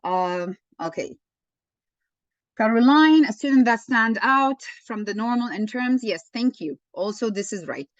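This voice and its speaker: A woman leading an online teaching session, slow and encouraging, often thanking participants. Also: a little high, lively intonation, dry recording. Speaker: webinar lecturer. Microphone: video call. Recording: dull online call audio.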